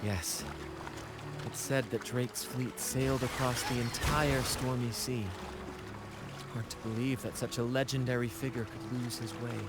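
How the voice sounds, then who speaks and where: A man's voice: medium pitch, steady tone, unhurried, lightly acted. An actor playing a confident young seafaring showman captain in a video game, with a theatrical, playfully poetic manner. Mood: calm, assured. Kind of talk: video game character dialogue. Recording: loud music behind the voice.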